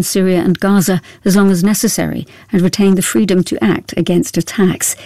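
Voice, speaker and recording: A woman reading a radio news bulletin in a measured, formal way. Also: mid-range voice, warm tone, no echo. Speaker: newsreader.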